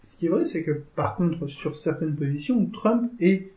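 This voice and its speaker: A man giving measured, explanatory political analysis in a casual discussion. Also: on the high side, expressive, dark tone. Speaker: co-host. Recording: echoey room.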